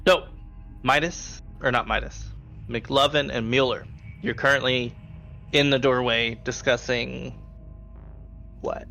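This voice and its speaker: A man's voice: mid-range voice, steady tone, slow, vocal fry, long pauses, pushed and bright, highs cut off, soft background music. A man acting as game master, narrating a tabletop roleplaying game in a conversational way.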